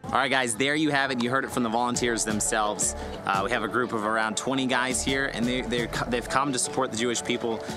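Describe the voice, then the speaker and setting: A man's voice: mid-range voice, fairly quick, music in the background. A young man in his twenties hosting a vlog outdoors in a vineyard, talking energetically straight to the viewers. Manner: energetic, addresses viewers directly. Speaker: host. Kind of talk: upbeat vlog presenting to camera. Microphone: clip-on lapel mic.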